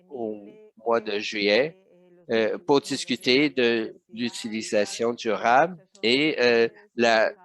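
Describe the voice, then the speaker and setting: Slow, hesitant male interpreter. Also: mid-range voice. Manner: hesitant, many fillers and restarts. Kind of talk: simultaneous interpretation of a webinar.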